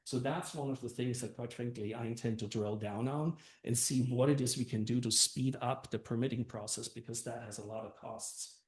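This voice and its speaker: A man's voice: medium pitch, steady tone, moderate pace, few pauses, a little mellow. An older man who grew up in Austria and Germany, a first-time council candidate speaking earnestly at a community meeting, with many fillers. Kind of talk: campaign speech at a meeting. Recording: echoey room.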